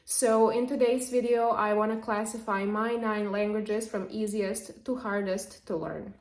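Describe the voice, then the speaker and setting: A young woman from Serbia, a native Serbian speaker, explaining language learning to camera in a lively, chatty way. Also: medium pitch, unhurried. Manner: lively, explanatory, with frequent fillers. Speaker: language vlogger. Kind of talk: casual talk to camera. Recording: echoey room.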